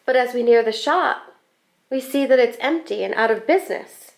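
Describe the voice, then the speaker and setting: A woman reading a children's picture book aloud in an expressive, deliberate storytelling manner. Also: on the high side, gravelly. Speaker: school staff storybook reader.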